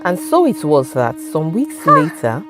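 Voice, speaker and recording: A woman with a Nigerian accent narrating a folktale in dramatic, measured phrases. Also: sits low, wildly swooping pitch. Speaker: storyteller. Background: music.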